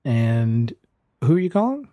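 A man narrating a tabletop horror audio drama as game master, in measured, deliberate phrases. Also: mid-range voice, very animated, warm tone, dry recording. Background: music bed.

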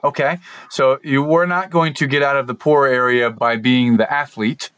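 A man's voice: medium pitch, steady tone, normal speed, a bit bright, clear, resonant tone, no echo. A man hosting a podcast, drawing out his guest with long, rambling, encouraging questions. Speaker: podcast host. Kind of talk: casual podcast interview.

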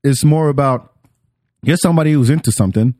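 A man's voice: mid-range voice, fast, warm tone, dry recording, clean studio sound. A man hosting a podcast in casual chat, opinionated and slangy, swearing freely. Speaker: podcast host.

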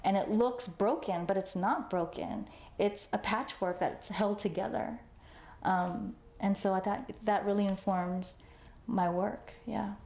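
A woman's voice: medium pitch, steady tone, slow, a little mellow, echoey room. A woman in her forties, born in Vietnam, telling her life story in a conversational interview as an artist guest, tagging her sentences with "you know" and "right?". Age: 40s.

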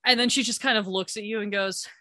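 A woman running a tabletop game, describing the scene in a loose, rambling way with many fillers. Her voice is bright and crisp, and the sound is dry and close, with no room around it. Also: mid-range voice, steady tone, fast talker, no room sound. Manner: chatty, hesitant, lots of "like" and "um". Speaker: game master. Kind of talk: narrating a live tabletop game.

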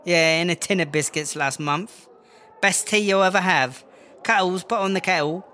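A man's voice: high voice, normal speed, pushed and bright, slight background noise. A man playing a brash, foul-mouthed English female prison rapper in a comedy audio drama, chatty and blustering in slang. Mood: scornful, bossy. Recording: music under the voice.

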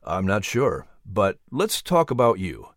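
An audiobook narrator playing a calm, kindly colonial minister who speaks as a gentle male mentor. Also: deep voice, unhurried, no room sound, studio quality, exaggerated voice. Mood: gentle, reassuring.

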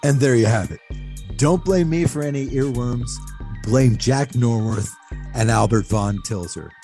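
A man's voice: medium pitch, measured pace, dark tone, faint music behind. A man hosting a podcast in disc jockey style, conversational with playful asides. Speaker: podcast host and co-producer.

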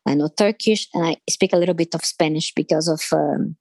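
A woman from Brazil with a Brazilian Portuguese accent, answering interview questions in English with many pauses, fillers and restarts. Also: low-pitched, a little mellow, dry recording. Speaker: interview guest.

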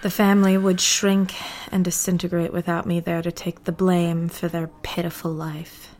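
A woman playing a bitter, defiant rebel diarist in an audio drama, confiding her secrets in a deliberate, theatrical way. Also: on the low side, level tone, darkish tone, a little hiss or hum, close to an everyday voice. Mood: bitter, gloating.

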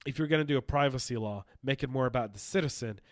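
A man hosting a privacy podcast, hoarse from being sick, talking in an emphatic, argumentative way. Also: mid-range voice, fast, no room sound, clean studio sound. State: hoarse from illness. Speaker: podcast host. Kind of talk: opinionated podcast monologue.

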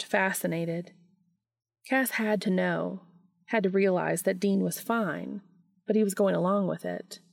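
A woman narrating fan fiction in an expressive audiobook style. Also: fairly low pitch, creaky.

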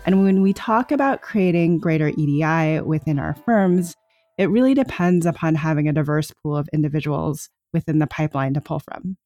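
A woman co-hosting a podcast, introducing topics and guests in a steady, articulate way. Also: low-pitched, moderate pace, muffled recording, soft background music. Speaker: podcast co-host.

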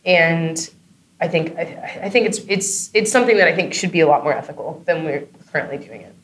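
A woman's voice: deep voice, fairly quick, a bit bright, slight background noise. A woman giving casual, chatty interview answers as a guest, with informal phrasing and frequent tag questions. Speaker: interview guest, audio drama creator and comedy performer. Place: on location at a film festival.

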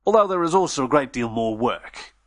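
A man voicing a proud, self-assured male werewolf gentleman who lectures smugly. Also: mid-range voice, animated, fairly quick, natural voice.

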